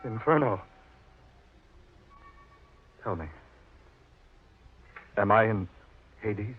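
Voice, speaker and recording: Casting: older doomed tycoon, agitated and pleading, rough husky voice with big swings, tinny vintage radio drama. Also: male voice, on the low side, lively intonation, drawn-out words, full character voice. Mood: bewildered, fearful. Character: desperate, frightened wicked dead millionaire pleading for mercy. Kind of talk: old-time radio drama acting.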